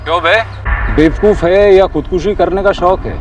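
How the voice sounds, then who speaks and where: An actor plays a thuggish gangster in a TV crime drama. His voice is slightly high, aggressive and taunting. The sound is a bit distant, with clear street-like noise around it. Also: moderate pace, natural voice. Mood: menacing. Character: menacing, crude male gangster making threats. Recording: distant, noisy outdoor sound with traffic.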